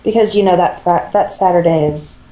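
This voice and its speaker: A woman's voice: lowish voice, normal speed, dark tone. A woman chatting casually as co-host of an online reading stream. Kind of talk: casual chat. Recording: roomy, echoey home recording.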